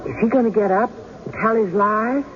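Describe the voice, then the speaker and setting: A woman's voice: medium pitch, moderate pace, natural voice. An actress playing an anxious, indignant frontier woman with a folksy, fretful manner in a radio drama. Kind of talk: 1970s radio drama acting. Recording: muffled, noisy old radio broadcast.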